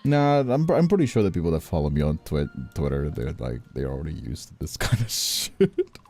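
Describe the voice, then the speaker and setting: Male streamer, casual and sweary, very low bright voice with lively swings, light music underneath. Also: a little fast, creaky. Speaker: streamer, VTuber host. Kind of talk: casual livestream chat, giggly banter while working.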